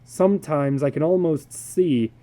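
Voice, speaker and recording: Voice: mid-range voice, unhurried, mellow, natural voice. A reader voicing a worried, defensive father in a dramatic story reading, with an earnest, questioning manner.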